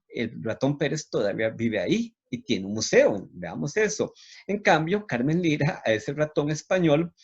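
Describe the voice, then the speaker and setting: A man's voice: mid-range voice, husky, dull audio. A Costa Rican man with a didactic manner, speaking as a university lecturer presenting on literature. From Costa Rica.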